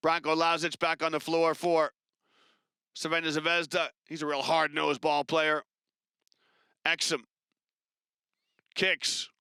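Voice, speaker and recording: Bright, crisp male basketball commentator. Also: fairly high pitch, dry recording, clean studio sound. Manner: brisk, chatty, rises with excitement on big plays. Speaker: play-by-play commentator.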